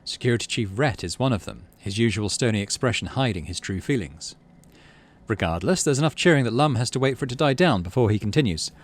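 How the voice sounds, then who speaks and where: A man, middle-aged, hosting a game playthrough, mixing casual commentary with expressive story reading. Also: mid-range voice, fast. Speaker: let's play host.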